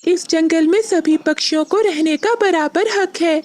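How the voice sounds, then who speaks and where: A woman's voice: very high-pitched, unhurried, darkish tone, pitched above the performer's own voice. A woman voicing a small, plucky, indignant male parrot in a children's cartoon.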